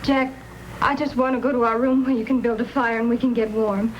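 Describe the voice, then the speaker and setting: A woman acting an anxious young wife with a fairly high voice. She speaks pleadingly, in old radio drama style. The recording carries a light layer of noise. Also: steady tone, brisk, dull audio, lightly acted. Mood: worried, pleading. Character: anxious young wife seeking safety and warmth.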